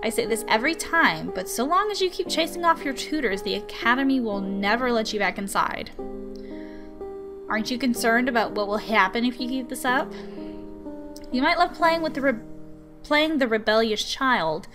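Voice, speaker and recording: A woman's voice: a little high, moderate pace, natural voice. A woman voicing an exasperated, dutiful guardian in a visual novel, dry and scolding. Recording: music behind the voice.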